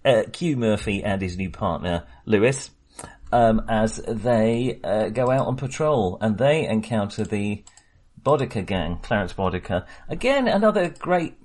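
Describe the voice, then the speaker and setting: Male podcast host, low husky voice, rambling film chat. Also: lively intonation, unhurried, darkish tone. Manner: rambling, hesitant, chuckles often. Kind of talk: casual film discussion.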